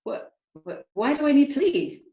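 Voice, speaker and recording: A woman's voice: high-pitched, sing-song, moderate pace, mellow, reverberant, highs cut off, voice pushed up. A woman voicing a confused, sheltered orphan girl in a storybook, hesitant yet emphatic. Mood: bewildered, protesting.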